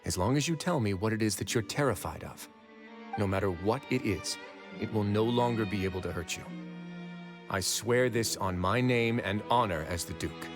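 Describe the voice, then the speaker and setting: An actor playing a stern, protective duke in a video game, speaking in a measured, authoritative way. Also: male voice, fairly low pitch, lightly acted. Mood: firm, reassuring. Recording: game audio under loud background music.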